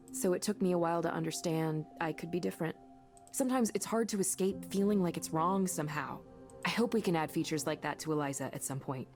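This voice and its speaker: An actress playing an earnest, kind and self-assured woman in a visual novel, speaking in a natural, gently encouraging conversational way. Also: low-pitched, creaky.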